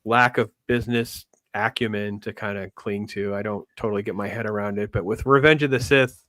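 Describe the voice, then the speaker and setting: A middle-aged man as a guest in a casual chat, explaining things in a wordy, informal, animated way. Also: fairly low pitch, a little fast, dry recording. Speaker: panel guest.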